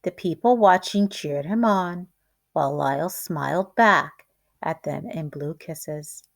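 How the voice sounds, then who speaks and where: A woman reading a children's picture book aloud like a teacher, in a deliberate, expressive storytelling way. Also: sits low, vocal fry.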